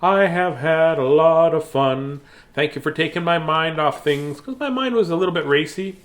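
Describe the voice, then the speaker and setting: A man's voice: on the high side, moderate pace. A man, chatting casually and reading to viewers as a bedtime storyteller in a silly, playful manner. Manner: silly, playful, with singsong scatting. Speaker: children's bedtime story reader.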